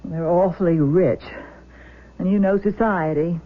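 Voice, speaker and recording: An actress playing a tender, worried middle-aged mother in a 1970s radio drama, gentle and emotional. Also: on the low side, a little slow. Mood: tender, surprised. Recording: thin phone-like old radio broadcast.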